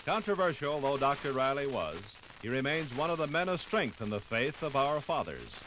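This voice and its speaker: A man's voice: mid-range voice, big pitch swings, moderate pace. A man giving formal, authoritative scripted narration for a 1950s radio documentary. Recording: noisy 1950s radio record.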